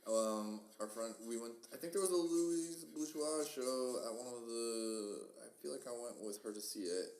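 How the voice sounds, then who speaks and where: A man's voice: medium pitch, normal speed, hardly any pauses, a little mellow. A man from Kansas hosting a podcast, with a nasal voice and a wry, self-mocking, rambling way of talking. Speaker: podcast host. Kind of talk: casual chat. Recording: echoey room with faint hiss.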